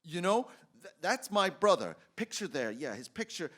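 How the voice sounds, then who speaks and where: An earnest, excitable young husband from an old radio play , acted by a man. His highish voice is very animated, stumbling over words with emphatic feeling and self-doubt. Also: moderate pace, heavily acted. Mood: proud, excited. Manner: stammering, emphatic.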